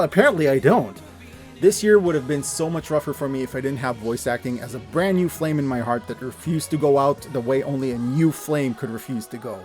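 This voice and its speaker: A man in his thirties giving an earnest, expressive, scripted personal narration, with emphatic delivery. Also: mid-range voice. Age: 30s. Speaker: video creator and narrator. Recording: music under the voice. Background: background music.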